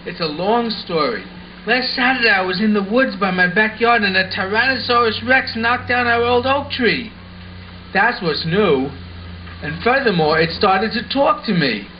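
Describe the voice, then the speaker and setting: A man playing an earnest, excitable schoolboy who explains things eagerly in an amateur puppet show. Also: high-pitched, normal speed. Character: Lance, earnest excitable schoolboy puppet spinning wild tales. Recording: muffled audio with faint background noise.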